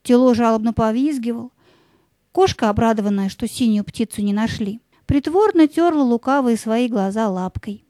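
Mellow, high-voiced woman narrating a fairy tale. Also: normal speed, dry recording. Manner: lyrical, gentle storytelling.

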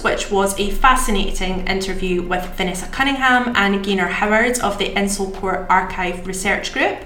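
A woman's voice: mid-range voice, a bit bright. A woman hosting a podcast, giving a partly scripted introduction in a conversational way. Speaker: podcast host and marketing coordinator. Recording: roomy echo, faint music.

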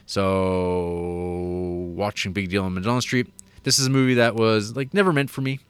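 Low, smooth-voiced man rambling casually. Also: fairly even intonation, normal speed, no echo. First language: English. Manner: rambling, filler-heavy, wry. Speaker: podcast co-host reviewing a film. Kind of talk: casual film review chat.

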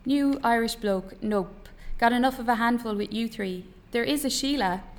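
A woman acts out a gruff Australian farm boss in a novel reading. He is hearty and fond of his workers, yet quick to lose patience with them. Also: extremely high pitch. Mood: hearty, jovial.

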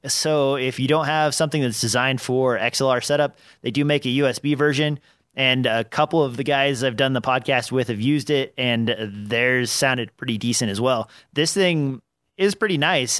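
A man's voice: mid-range voice, a bit bright, no echo. A man with a slightly nasal voice hosting a casual podcast, rambling with fillers, close on a dynamic studio mic. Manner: rambling, with many fillers and restarts. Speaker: podcast host. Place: small home studio. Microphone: studio dynamic microphone on an arm.

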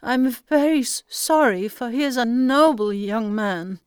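Woman reading frightened stammering dad, halting, pitched-up voice, dry. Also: expressive, clear, resonant tone, dry recording. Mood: shaken. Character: shaken middle-aged father, stammering and frightened.

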